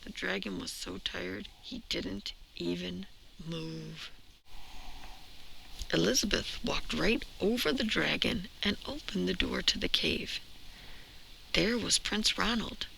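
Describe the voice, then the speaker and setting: A woman reading a children's storybook aloud with expressive, deliberate, emphatic phrasing. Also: lowish voice, creaky, poor audio. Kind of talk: expressive read-aloud narration. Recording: noticeable background noise.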